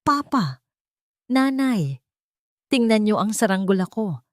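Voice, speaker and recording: A woman with a Filipino accent voicing an excited, eager small child in an audiobook drama. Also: medium pitch, takes it slowly, dry recording, clean studio sound, pitched above the performer's own voice.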